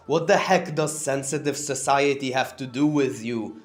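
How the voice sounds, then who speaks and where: Sarcastic male commentary host, roomy sound. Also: mid-range voice. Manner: sarcastic, scornful. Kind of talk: mocking commentary narration. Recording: roomy room, faint music behind.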